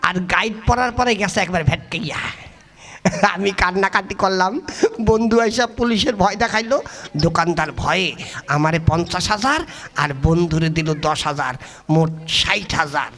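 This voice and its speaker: Man acting a gravelly, scheming cheat of a husband. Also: high-pitched, normal speed, pushed and bright, pitched below the performer's own voice. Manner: colloquial, blustering, theatrical. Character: scheming, greedy, foul-mouthed husband puppet, a small-time cheat. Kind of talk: comic folk puppet-play acting.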